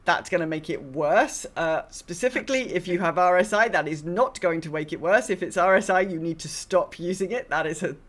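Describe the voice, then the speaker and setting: Female podcast co-host, on the lower side, informal and meandering, drifts between thoughts. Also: a little fast, hardly any pauses. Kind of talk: casual gaming podcast chat.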